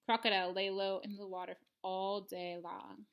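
A woman's voice: medium pitch, flat delivery, moderate pace, creaky, muffled recording, slight background noise. A woman in her thirties reading a picture book aloud expressively for children, at home. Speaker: storybook reader. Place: living room at home.

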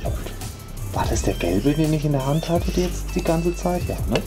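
Low-voiced chatty woman reviewing gear. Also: expressive, normal speed, music in the background. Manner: rambling, chatty, hedging with "ne?" and fillers. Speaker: tech channel host. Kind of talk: casual product review vlog. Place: indoors at home, moving between rooms.

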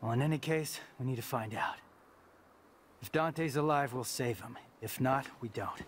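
An actor playing a brash, cocky young demon hunter in a video game, blunt, casual and sarcastic. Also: male voice, mid-range voice, normal speed, slight background noise, natural voice. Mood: sarcastic, determined. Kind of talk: naturalistic video game voice acting.

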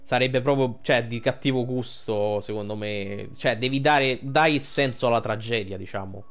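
A man's voice: medium pitch, normal speed, a bit bright. A young adult man giving casual, colloquial, emotionally invested game commentary, with some swearing. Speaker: game streamer.

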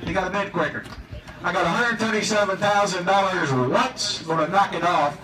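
A man's voice: high voice, normal speed, noisy background. An American man working as an auctioneer, chanting repetitive, rhythmic bids to a live crowd and pushing bidders along. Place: outdoors on a marina dock. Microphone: picked up by a distant camera. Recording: distant, echoey outdoor recording with crowd.

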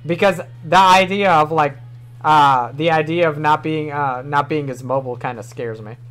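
High-voiced young man with a New York accent. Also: moderate pace, fairly bright tone, soft background music. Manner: rambling, swears casually, laughs often. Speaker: streamer. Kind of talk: casual livestream chat. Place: small home room.

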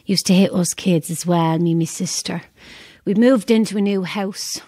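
A woman's voice: fairly low pitch, normal speed, dark tone, slightly rough, no echo. An actress with a Dublin accent playing a down-to-earth, gossipy woman in an audio drama, frank and wry as she chats. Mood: frank, confiding. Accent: Irish, Dublin. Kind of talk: audio drama, casual banter and storytelling.

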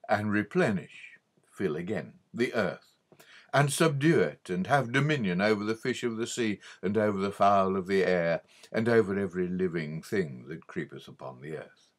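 A man narrating an old religious audiobook in a formal, measured way with emphatic stresses. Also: lowish voice, lively intonation. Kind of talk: scripted audiobook reading of an old religious book.

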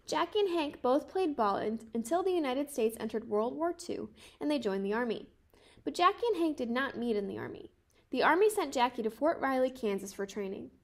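Woman, twenties, high-pitched voice, children's storybook read-aloud, measured delivery. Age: 20s. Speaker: storybook reader.

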